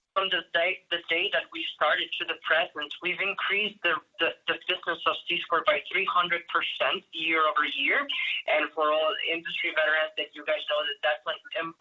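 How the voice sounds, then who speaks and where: Grateful man presenting a webinar, bright tone. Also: a little high, breathy edge. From Guatemala. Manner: earnest, thankful, many restarts and repeated words. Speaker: agency partner presenting in a webinar. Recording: muffled lo-fi audio.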